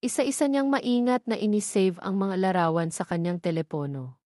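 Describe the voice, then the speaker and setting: A woman's voice: medium pitch, normal speed, dark tone, no echo, studio quality. A woman reading a scripted romance story as its narrator. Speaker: narrator of romance audio stories.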